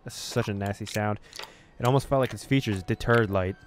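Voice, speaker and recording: A man reading a horror visual novel aloud as a gaming host, with expressive storytelling and casual delivery. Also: fairly low pitch, a little fast, slight background noise.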